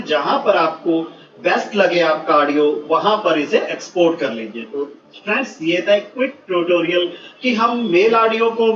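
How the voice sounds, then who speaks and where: A man giving step-by-step software instructions, mixing in English technical words. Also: high-pitched, a little hiss or hum. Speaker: software tutorial presenter. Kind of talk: step-by-step screen tutorial. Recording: muffled, roomy, distant mic, faint music.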